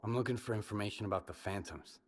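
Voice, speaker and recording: A man playing a gruff, stoic survivor hero in a video game, blunt and husky. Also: on the low side, quick pace, close to an everyday voice. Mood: serious, probing.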